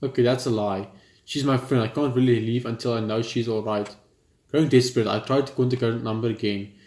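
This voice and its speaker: A man's voice: mid-range voice, fairly even intonation, brisk, darkish tone. A young man reads visual novel text aloud as a let's player, informally, with stumbles and casual reactions. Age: young adult. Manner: informal, stumbles while reading. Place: indoor room at home. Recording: roomy echo, clear background noise.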